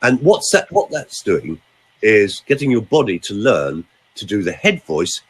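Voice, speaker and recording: Vocal coach, male, husky, wildly varied pitch, demonstrating exercises over a video call. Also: a little slow. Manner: animated, swoops between high and low pitch, demonstrates sounds.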